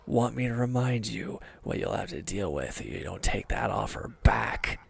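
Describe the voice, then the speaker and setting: A man playing a wounded, proud villain in an intimate audio drama, sullen and guarded, curt and halting in a breathy murmur. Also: fairly low pitch, animated, heavily acted. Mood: scornful, menacing.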